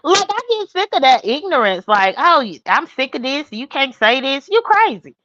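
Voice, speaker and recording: Dull, lo-fi call audio carries a high-pitched woman with a Southern US accent. She is blunt and combative, arguing in casual, profane language like a heated panel guest. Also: very animated, moderate pace, sharp tone. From Mississippi, United States. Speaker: panel guest on a live online show.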